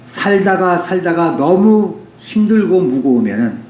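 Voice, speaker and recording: A man's voice: high-pitched, very animated, measured pace, a little mellow. A man speaking as a poet, musing philosophically in an unscripted, poetic way with repeated phrasing. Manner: philosophical, poetic, with rhetorical repetition. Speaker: poet and author giving a talk. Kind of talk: reflective spoken essay, unscripted. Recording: roomy echo with faint background noise.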